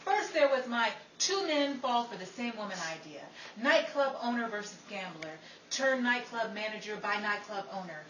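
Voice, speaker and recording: An excitable Hollywood starlet of the fifties , acted by a woman, with a high, very bright voice. She talks in emphatic, theatrical old-time radio style, aggrieved and full of herself, heard from a distance in a roomy recording. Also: slight background noise, lightly acted. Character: Betty, excitable, aggrieved 1950s Hollywood actress full of movie ideas.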